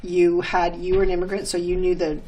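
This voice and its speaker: A woman's voice: fairly low pitch, completely flat delivery, fairly quick, dark tone, muffled recording, a little hiss or hum. A woman co-hosting a local TV interview show, speaking in a friendly, encouraging and slightly rambling way. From northern New England. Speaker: co-host. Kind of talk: casual interview chat.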